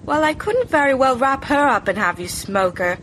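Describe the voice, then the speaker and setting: A woman's voice: high voice, expressive, normal speed, voice pushed up. Woman playing a cheeky theatrical teenage boy, noisy recording. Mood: cajoling. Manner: teasing, melodramatic, wheedling. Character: cheeky, theatrical, mischievous teenage schoolboy prankster.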